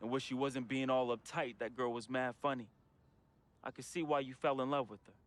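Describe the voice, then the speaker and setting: A man's voice: medium pitch, fairly even intonation, brisk, natural voice. An actor playing a troubled, grieving young American man reading a farewell letter to his friend in an emotional, slangy, confessional voice-over. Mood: wistful, fond. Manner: emotional, slangy, heartfelt urban American delivery. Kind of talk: dramatic confessional voice-over monologue. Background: music.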